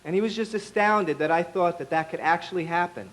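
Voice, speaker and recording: A hoarse man, a party official, giving a political speech at an event, halting with ums and building to a rousing call. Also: high-pitched, a bit bright, rough recording.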